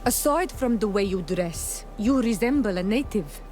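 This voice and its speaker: A woman's voice: mid-range voice, expressive, moderate pace, a little mellow, lightly acted. An actress playing a proud, fierce young native islander woman in a video game, speaking earnestly and solemnly. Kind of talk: video game dialogue acting. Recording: game dialogue under prominent music.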